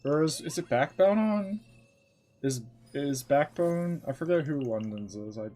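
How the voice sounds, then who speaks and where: Slow-paced man streaming games, offhand. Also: fairly high pitch, lively intonation, warm tone, slight background noise. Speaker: game streamer. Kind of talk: casual livestream commentary and chat.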